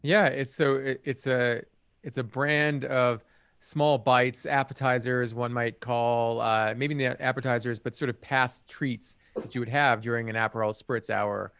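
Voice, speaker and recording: A man hosts a live competition stream in a friendly, easygoing manner. His voice is smooth and resonant, at a middle pitch. It is recorded dry and close. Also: steady tone, unhurried, a bit bright, no echo. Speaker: host, podcast editor. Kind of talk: livestream interview hosting.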